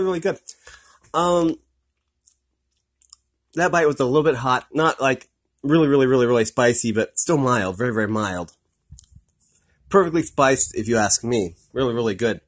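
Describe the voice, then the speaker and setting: A man talks through a snack review from behind his phone camera, hesitating with frequent ums and restarts. His voice sits at an ordinary middle pitch, and he circles back to the same praise over and over in an easygoing, unscripted way. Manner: hesitant, many ums, repeats "really, really good". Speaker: product reviewer. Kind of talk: casual food review vlog.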